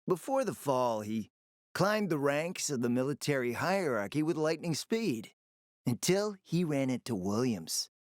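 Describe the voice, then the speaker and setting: Sly smooth-talking spymaster for a game, theatrical and urbane, pitch moves around a lot, dry recording. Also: male voice, very animated, measured pace, dry recording. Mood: grave, conspiratorial. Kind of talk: video game voice acting.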